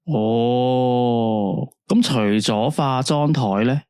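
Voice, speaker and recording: An actor playing a late-night radio phone-in host, speaking Cantonese with a Hong Kong accent in a soothing, drawn-out counselling tone. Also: male voice, mid-range voice, fairly even intonation, dark tone, smooth, no room sound, studio quality. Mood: calm. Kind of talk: radio drama acting.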